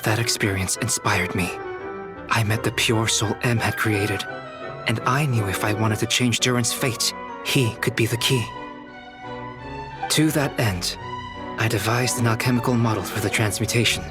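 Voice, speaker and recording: An actor playing a calm, analytical young alchemist in a game, speaking in a measured, precise, formal manner. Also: male voice, fairly low pitch, lightly acted. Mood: resolute. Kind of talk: scripted English game voice acting. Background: background music.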